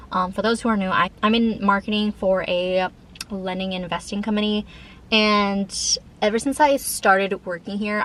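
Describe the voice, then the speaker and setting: A woman's voice: medium pitch, fairly bright tone, slight background noise. A thirty-year-old woman chatting casually in a vlog, rambling informally with frequent "like" fillers. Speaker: lifestyle vlogger. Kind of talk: casual chat to camera.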